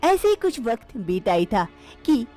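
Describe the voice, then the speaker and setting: A woman narrating a cartoon story in a steady, plain storytelling manner. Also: fairly high pitch, measured pace, soft background music.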